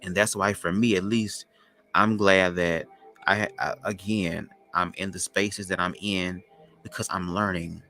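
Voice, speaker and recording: A man with a low, raspy voice, hosting a podcast as a loose, slangy spiritual monologue. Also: steady tone, bright, forceful tone, soft background music. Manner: loose, slangy, drawn-out talk. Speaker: podcast host.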